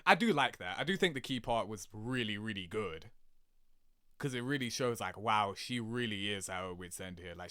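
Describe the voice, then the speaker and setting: A man giving casual, rambling reaction commentary in an animated, slangy, sweary way, laughing easily. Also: fairly low pitch, clear, resonant tone, no room sound, studio quality. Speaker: video creator reacting to game cutscenes.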